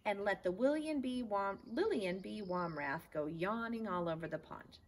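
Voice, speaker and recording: Woman reading as a little boy mouse sailor, bold n eager, really lively pitch, outdoor noise. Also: moderate pace, natural voice. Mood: eager, loyal. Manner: crisp, bold. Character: small plucky, loyal, eager young male mouse sailor. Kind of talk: children's storybook read-aloud. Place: outdoors in a backyard garden.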